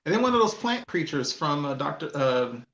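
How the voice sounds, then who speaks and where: A man's voice: a little high, sing-song, normal speed, fairly bright tone, dull audio. A man on a fan discussion panel, chatting casually and enthusiastically as he feels his way through remembered details. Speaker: podcast panelist. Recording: roomy, echoing room sound.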